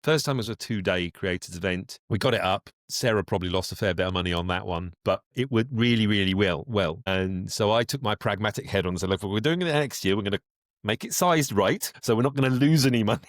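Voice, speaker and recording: A man's voice: on the low side, quick pace, dry recording, studio quality. A man talking at length as a guest in a conversational podcast interview, explaining things in a rambling way. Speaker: guest, voice actor and director.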